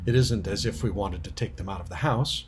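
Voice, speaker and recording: A man voicing a sensible, practical schoolgirl, an elder sister, with brisk questions in a storybook reading. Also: lowish voice, slight background noise, natural voice.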